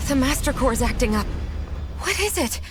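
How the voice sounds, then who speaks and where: An actress playing an excitable, anxious young heroine in a video game, speaking in exclamations. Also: female voice, high voice, normal speed, noisy background. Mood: startled. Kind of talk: dramatic video game voice acting. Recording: music behind the voice.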